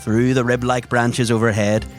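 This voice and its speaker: A man's voice: medium pitch, level tone, moderate pace, soft background music. A man narrating a tabletop adventure as game master, in vivid, theatrical and descriptive language.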